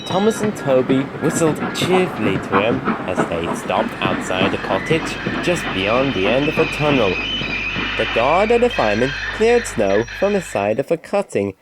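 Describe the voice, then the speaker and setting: A man's voice: mid-range voice, noisy background. A man reading a scripted children's story as narrator.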